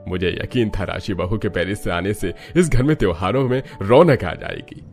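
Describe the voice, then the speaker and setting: A man's voice: deep voice, animated, normal speed, creaky, a little mellow. A man voicing an older, supportive father-in-law in a cartoon, firm and approving as head of the family. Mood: firm approval. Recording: music bed under the voice.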